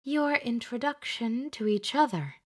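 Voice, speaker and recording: A woman voicing a fussy, chattering older witch who plays matchmaker, bossy and brisk in an audiobook. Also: a little high, animated, voice pushed up. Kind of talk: audiobook character voice.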